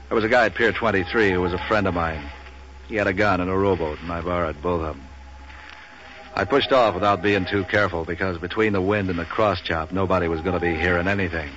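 A deep-voiced, wisecracking American tough guy in a 1940s radio noir. He talks in clipped, deadpan lines with a sardonic edge. The old recording is muffled, with faint hiss. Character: street-wise, wisecracking hard-boiled waterfront man for hire. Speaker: lead character and narrator.

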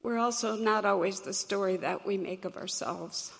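A woman with a crackly voice, telling a personal story to an audience in an earnest, reflective, measured way. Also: mid-range voice, gravelly. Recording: long hall reverb.

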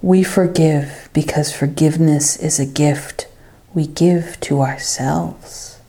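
A woman leading a scripted devotional guided meditation, with hushed phrase endings and long pauses. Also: low voice, fairly even intonation.

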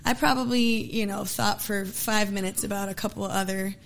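A woman singer from Texas, a guest, talking casually with lots of fillers and easy laughs, her voice a little hoarse. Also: mid-range voice, steady tone, normal speed, poor audio. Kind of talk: casual interview chat.

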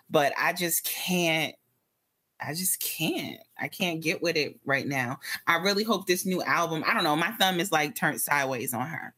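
Low-voiced candid woman in casual chat. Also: pushed and bright. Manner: candid, hesitant, trailing into fillers. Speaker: podcast co-host. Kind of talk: casual pop culture chat.

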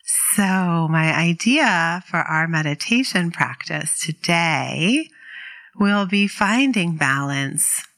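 A woman teaching guided meditation in a gentle, encouraging, unhurried way. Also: lowish voice, lively intonation. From New England, living there. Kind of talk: guided meditation instruction to an online community.

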